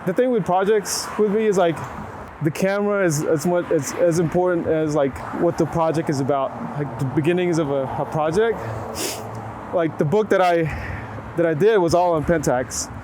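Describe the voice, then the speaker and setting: A middle-aged man giving casual, reflective interview answers, often rewording his thoughts as he goes. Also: fairly high pitch. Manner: halting, filler-heavy phrasing with frequent rewording. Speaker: photographer being interviewed. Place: outdoors in a city. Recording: outdoor city traffic noise. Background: urban ambience, vehicles.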